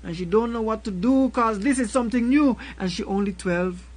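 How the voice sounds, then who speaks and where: An older man with a Caribbean accent recites a spoken word poem in a slow, rhythmic way, returning again and again to a refrain, at a desk mic in a small room. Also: sits high. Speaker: poet. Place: small room with a colorful backdrop.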